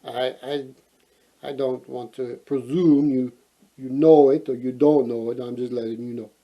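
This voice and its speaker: A man reading a storybook aloud as host, expressive and playful, often wandering into casual chatty asides. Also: medium pitch, a little slow, muffled recording.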